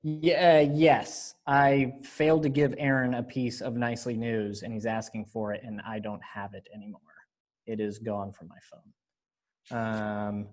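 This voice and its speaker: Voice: medium pitch, flat delivery, measured pace, a little mellow. An upbeat, encouraging person chatting casually while organizing a group rehearsal over a video call. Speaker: event organizer running a readers theater rehearsal.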